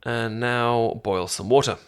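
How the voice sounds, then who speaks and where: A British man acting out an eager, friendly best friend in a casual ASMR roleplay, with a halting, stammering, rambling way of talking. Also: mid-range voice, fairly even intonation, slight background noise, natural voice. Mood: reassuring. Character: friendly, eager young male best friend teaching sushi-making.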